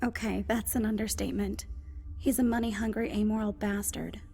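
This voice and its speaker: A woman playing a thoughtful young scientist, earnest and clearly phrased, in a dramatised audiobook. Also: mid-range voice, steady tone, fairly quick, warm tone. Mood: wry disdain.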